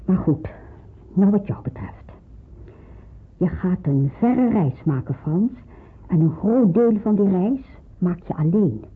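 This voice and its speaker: A middle-aged pastor's wife in a radio drama, caring and practical. Her voice is husky, and she explains things in a reassuring, slightly hesitant way. It sounds muffled, as if down a phone line. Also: lowish voice, animated, slow, exaggerated voice. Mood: concerned, practical. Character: caring, devout pastor's wife aiding people in hiding. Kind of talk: Dutch radio drama acting.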